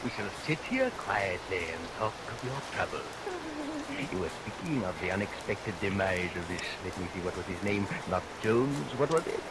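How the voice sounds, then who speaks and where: Through clear rain noise on an old radio recording comes a menacing barber. His pitch swings theatrically. He is mock-courteous and insinuating, a melodrama villain toying with his prey. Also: lively intonation, moderate pace. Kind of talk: vintage radio melodrama acting.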